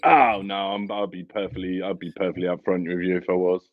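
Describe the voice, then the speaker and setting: A man's voice: sits low, flat delivery, brisk, no room sound. A man co-hosting a live football watchalong, chatting casually with wry joking asides. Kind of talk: casual live-stream chat. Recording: dull lo-fi stream audio.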